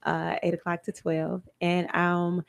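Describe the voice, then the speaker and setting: A woman's voice: lowish voice, monotone, a little slow, creaky. A woman, a singer and actress, casually promoting herself as a guest.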